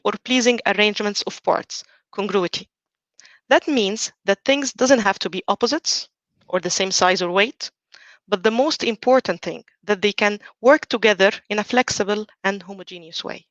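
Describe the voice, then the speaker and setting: A woman in her forties from Egypt, giving a measured, earnest prepared talk as a team coach. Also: mid-range voice, a bit bright, dry recording. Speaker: team coach, conference speaker.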